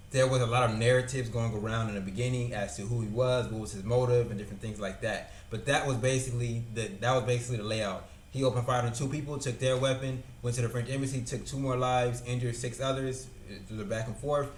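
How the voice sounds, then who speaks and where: A man's voice: mid-range voice, a little hiss or hum. A young man casually explaining a news event as a vlogger, talking loosely with many fillers and repeated words. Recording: echoey room with faint ambience.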